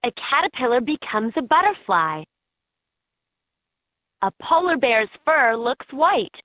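A woman's voice: high-pitched, big pitch swings, takes it slowly, dry recording, exaggerated voice. A woman voicing an upbeat guide in a children's educational animal game, in scripted narration with slightly dull game audio.

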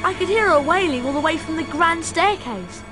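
A woman voicing an excitable but cautious young schoolboy sidekick in a video game. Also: very high-pitched, moderate pace, over music. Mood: urgent. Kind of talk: video game cutscene acting.

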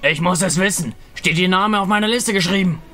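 A man's voice: sits high, a little fast, creaky, sharp tone, heavily acted. Man acting a grim, blunt undead killer. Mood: grim, insistent. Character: grim undead male killer obsessed with his list.

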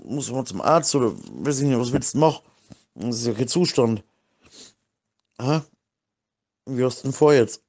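A man's voice: medium pitch, normal speed, pauses often, darkish tone. A middle-aged man from Germany talking casually as in a private voice message, rambling in slang and repeating himself.

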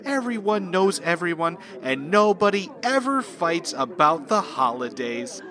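A woman's voice: on the low side, very animated, unhurried, a bit bright. A woman playing a wholesome, wide-eyed small-town resident, sugary and wistful, in a satirical radio play. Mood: delighted.

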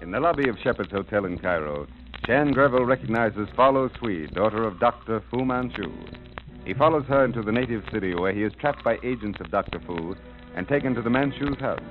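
A man's voice: fairly low pitch, animated, brisk. A man reading a formal recap narration as the announcer of a vintage radio drama. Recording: narrow-band 1930s radio with music. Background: dramatic music.

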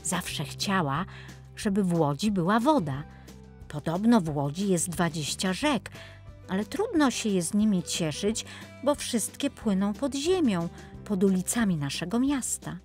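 A woman narrates a children's tale with playful expression. Her medium-pitched voice swoops and dips with lively intonation. Soft music plays behind her. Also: unhurried. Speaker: storyteller narrator. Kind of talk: scripted children's story narration.

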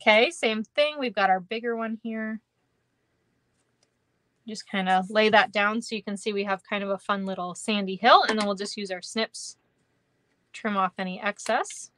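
A woman's voice: medium pitch, normal speed, a bit bright, slight background noise. Chatty, giggly Minnesotan woman crafter. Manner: chatty, giggly, lots of ums and kindas. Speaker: card-making instructor. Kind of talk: live stream craft demo, chatting with viewers. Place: indoor craft room.

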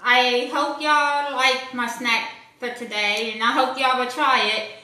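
A middle-aged woman giving a casual cooking demonstration in a small indoor room, speaking in a slow, plain, halting way, recorded on a handheld phone. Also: sits high, steady tone, sharp tone. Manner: slow, plain, halting delivery with many pauses. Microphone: handheld phone camera. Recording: echoey room, faint background noise.

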